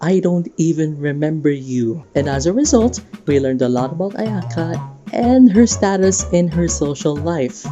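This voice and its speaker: A woman's voice: sits low, animated, moderate pace, mellow. A woman giving casual, playful and enthusiastic fan commentary on a video game. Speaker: video creator hosting a game discussion. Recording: music behind, slightly dull sound.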